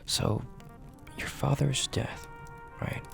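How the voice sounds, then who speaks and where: A man playing a dominant, flirty and possessive mafia boss in an intimate audio roleplay, speaking in a gravelly, breathy half-whisper with a commanding, teasing manner. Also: music in the background, close to an everyday voice. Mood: smug, controlled. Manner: slow, commanding, teasing, with drawn-out pauses.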